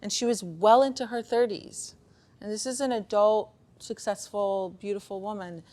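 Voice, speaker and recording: A woman speaking casually in a motivational talk, telling personal stories in an animated, conversational way. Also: medium pitch, moderate pace. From Pakistan, of Afghan heritage. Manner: conversational, animated storytelling, acting out bits of dialogue. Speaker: speaker giving a conference talk on beliefs and performance.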